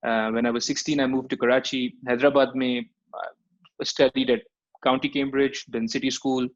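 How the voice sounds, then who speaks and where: A Pakistani man talks as a guest over a video call, joking as he rattles through his story at a quick clip. His voice sits at a middle pitch, and the headset audio comes through dull and lo-fi. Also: little pitch movement. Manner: joking, rapid talk mixing English and Urdu. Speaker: podcast guest. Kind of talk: casual video-call interview. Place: room at home, indoors.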